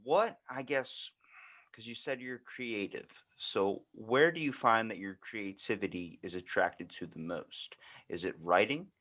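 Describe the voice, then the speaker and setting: American guy podcast host, lowish voice, rambly casual chat, gets animated. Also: measured pace. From United States. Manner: rambling, animated storytelling with frequent "like". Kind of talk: casual conversational interview.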